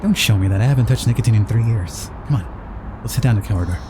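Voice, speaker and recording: Man playing a pushy, brisk female TV reporter. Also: lowish voice, background noise, pitched above the performer's own voice, close to an everyday voice. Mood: confident. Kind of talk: reading game dialogue in character.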